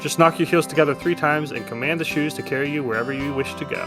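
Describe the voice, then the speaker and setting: A halting, awkward woman reciting as a storybook good witch, played by a man. The pitch is lifted above the performer's usual voice. Music runs behind the words. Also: close to an everyday voice. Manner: halting, muttering, reading aloud.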